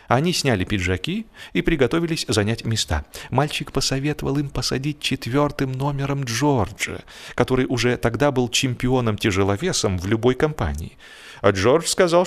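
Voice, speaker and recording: A man narrating a humorous literary story in an expressive, theatrical way, with deliberate drawn-out pauses. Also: medium pitch. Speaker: audiobook narrator. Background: music.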